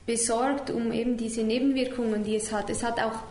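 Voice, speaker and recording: A woman interprets live in a fairly high voice. She searches for words and corrects herself along the way. The room echoes around her, with faint noise behind. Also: fairly even intonation, brisk, darkish tone. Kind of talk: consecutive spoken interpretation.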